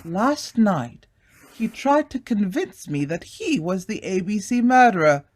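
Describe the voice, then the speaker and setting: An earnest gentleman sleuth played by a male actor, speaking deliberately and slowly. The delivery is theatrical and exclamatory, in the style of old radio drama. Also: high-pitched, sing-song, heavily acted. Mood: confiding. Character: earnest, take-charge British gentleman amateur sleuth.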